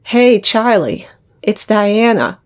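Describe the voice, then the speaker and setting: Woman acting casual curious young friend calling up, normal pitch, audio drama phone chat. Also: very animated, slow pace. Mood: friendly.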